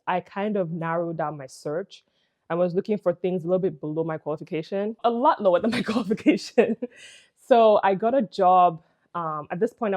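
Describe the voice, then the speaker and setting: A woman's voice: on the low side, darkish tone. A young woman with a Nigerian English accent, telling a personal story to camera in a casual, chatty way full of "like" and "you know". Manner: chatty, frequent "like" and "you know", laughs between phrases. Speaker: vlogger.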